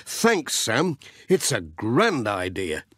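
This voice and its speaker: Man playing a cheerful, lively village postman. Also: mid-range voice, slow, slightly rough, dry recording, clean studio sound, full character voice. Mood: grateful, cheerful. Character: cheerful friendly village postman, a little hapless. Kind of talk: children's audiobook character reading.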